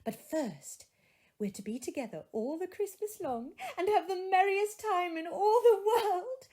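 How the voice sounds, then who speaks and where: A woman's voice: extremely high pitch, lively intonation, unhurried, a little mellow, voice pushed up. A woman voicing an eager, loving little girl in a storybook reading, with gushing childish delight. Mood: eager, joyful. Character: excited, loving little girl, younger sister.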